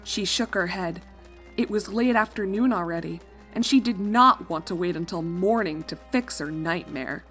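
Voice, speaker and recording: A woman's voice: fairly low pitch, normal speed, a bit bright, faint music behind. A woman reading scripted story narration as the narrator of a fan fiction.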